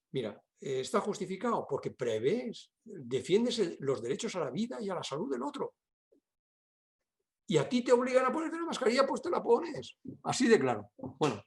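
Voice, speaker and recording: Older man, discursive Spanish speaker from Spain. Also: a little high. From Catalonia, Spain. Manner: discursive, emphatic, frequent question tags. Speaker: university professor and guest speaker. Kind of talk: informal question-and-answer talk.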